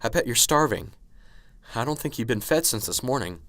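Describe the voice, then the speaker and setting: A man's voice: medium pitch, expressive, normal speed, a bit bright, no echo, close to an everyday voice. A man playing a nervous, unsure professor in an amateur radio drama, muttering hesitantly to himself and stammering. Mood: gentle, puzzled. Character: nervous, unsure, bookish male professor, anxious and brooding.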